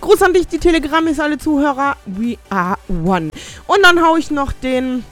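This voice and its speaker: High, lively German woman DJ rambling. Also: faint music behind. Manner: rambling, self-mocking, halting broken English. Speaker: radio DJ. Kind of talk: casual live radio chat reading listener messages.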